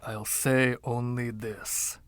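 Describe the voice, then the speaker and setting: A man's voice: mid-range voice, little pitch movement, takes it slowly, clear, resonant tone, no room sound, close to an everyday voice. Guy doing a boastful giggly dandy from the twenties, silky voice, theatrical storybook read, real dry close sound. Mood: coy, teasing. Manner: theatrical, teasing, old-fashioned slang. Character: flamboyant, boastful, giggly 1920s dandy named Freddie.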